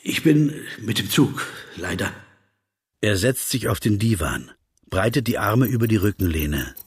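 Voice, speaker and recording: A husky, low-voiced reserved interviewer. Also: male voice, lively intonation, a little slow, no echo, full character voice. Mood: dry, reserved. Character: reserved, observant male interviewer narrating his inner thoughts. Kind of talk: literary radio play, first-person narration.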